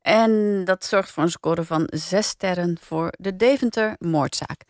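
A woman's voice: fairly low pitch, measured pace, no room sound, studio quality. A woman hosting a casual podcast review chat, speaking in a blunt, critical way.

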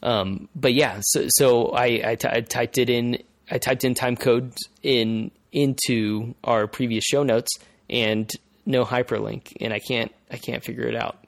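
A male podcast host explaining things in casual conversation, haltingly, repeating phrases as he thinks aloud. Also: mid-range voice, fairly even intonation, creaky, no room sound.

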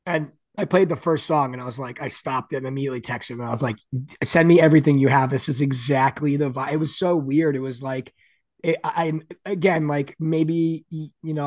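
A man with a deep, gravelly and raspy voice, talking loosely as a guest. His speech rambles, full of "like" and "you know". The sound is close and dry, with no room around it. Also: darkish tone, no room sound. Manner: rambling, many "like" fillers. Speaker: guest musician. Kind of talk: casual interview chat.

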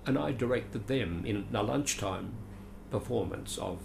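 Older australian guy, gravelly, chatty and rambling, dry little jokes, faint noise. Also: on the low side, animated, a little slow. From Adelaide, Australia. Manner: rambling, chatty, self-deprecating with dry asides. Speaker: veteran actor, interview guest. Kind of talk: reminiscing conversational interview.

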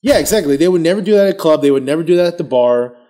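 A man's voice: a little high, animated, racing, warm tone. A man in casual chat who talks emphatically and repeats himself for effect.

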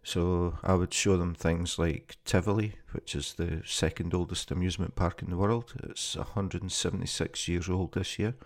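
Scottish guy chatting through his first podcast intro, low voice, bit of fry on it. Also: fairly even intonation, moderate pace, hardly any pauses. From Scotland. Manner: plain, conversational, with frequent ums and small restarts. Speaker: podcast host. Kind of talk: casual spoken podcast introduction.

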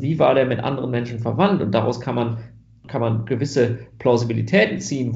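A man's voice: mid-range voice, gravelly, warm tone, reverberant, dull audio. A German man answering interview questions about genetics research, thoughtful, with many fillers and restarts.

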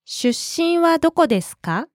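High-pitched woman modeling lesson phrases. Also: very animated. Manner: crisp, deliberate, syllable by syllable. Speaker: native model speaker in a language lesson. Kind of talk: slow, careful phrase modeling.

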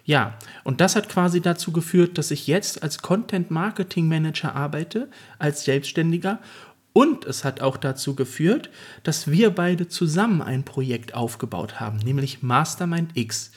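A man's voice: a little high, animated, moderate pace, husky. A man co-hosting a podcast, telling his story in an earnest, reflective way. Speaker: podcast co-host. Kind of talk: casual podcast chat.

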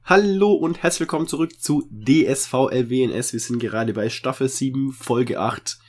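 A man's voice: mid-range voice, normal speed, noisy background. A man co-hosting a podcast in a casual, chatty way while he has a cold and a runny nose. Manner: chatty, relaxed with fillers. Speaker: podcast co-host. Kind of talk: casual chat.

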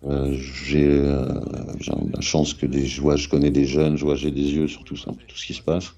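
A man's voice: extremely low pitch, monotone, moderate pace, dark tone, dull audio. A middle-aged man from France, a guest telling his story in a rambling, casual way with slang and many restarts. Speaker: guest, graffiti artist and rapper. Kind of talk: casual interview talk.

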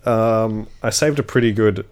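A man's voice: on the low side, measured pace, darkish tone, clear, resonant tone. A young man co-hosting a casual podcast, congested and sniffling, laid-back and swearing, stumbling as he reads a forum post aloud. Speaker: podcast co-host.

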